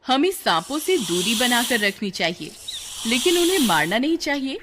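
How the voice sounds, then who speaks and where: Lively female story narrator. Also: on the high side, normal speed. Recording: very noisy background.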